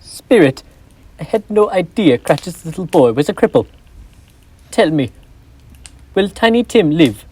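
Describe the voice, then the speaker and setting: A young man acting as a fearful, pleading old miser on a high school stage, with theatrical cries. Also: very animated, unhurried, long pauses, mellow, husky, exaggerated voice. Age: young adult. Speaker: student actor.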